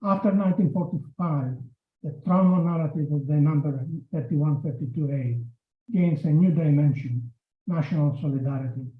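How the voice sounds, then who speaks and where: A man reading a prepared lecture at a panel discussion, measured and pausing often to restart phrases. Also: on the high side. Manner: measured, with frequent hesitations and restarts. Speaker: academic panel speaker. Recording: echoey room over a phone-like line.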